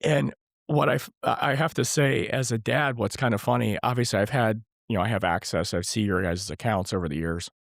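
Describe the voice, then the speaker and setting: A man in his forties with a hoarse, gravelly voice, worn rough by a cold, sitting at a middle pitch. He hosts in an easygoing way, often chuckling and trailing off into restarts. The sound is close and dry, with no room echo. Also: fairly quick. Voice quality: scratchy, gravelly. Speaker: podcast host and father of the guests. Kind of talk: casual family podcast interview.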